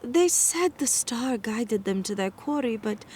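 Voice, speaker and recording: An actress playing a wary, gentle young village mother of ancient times in an audio drama, formal and hesitant. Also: fairly high pitch, expressive, a little slow, a little hiss or hum. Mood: grave.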